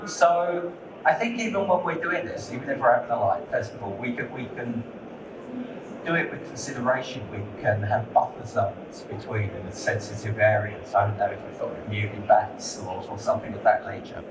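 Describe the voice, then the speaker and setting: A man talking as a lighting designer on a conference panel. He speaks candidly and conversationally, repeating words as he gathers his thoughts. The sound is distant and roomy, with a steady noise underneath. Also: mid-range voice, moderate pace. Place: conference hall. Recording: echoey hall, distant mic, noisy.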